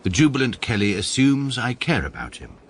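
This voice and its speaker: A man's voice: mid-range voice, big pitch swings, normal speed, a little hiss or hum. An English actor in his thirties narrating as a pompous, precise barrister in a radio drama, dry and self-satisfied. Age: 30s. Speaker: narrator and lead.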